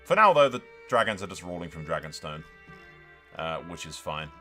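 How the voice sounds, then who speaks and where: A man's voice: low-pitched, sing-song, normal speed, sharp tone, soft background music. A man giving casual, sweary gameplay commentary as a gaming YouTuber, chatting to his viewers in a blokey way.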